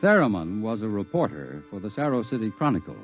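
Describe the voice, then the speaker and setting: A man narrating a 1950s science fiction radio drama in a measured, dramatic storytelling way. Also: lowish voice, very animated. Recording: old radio recording with faint music.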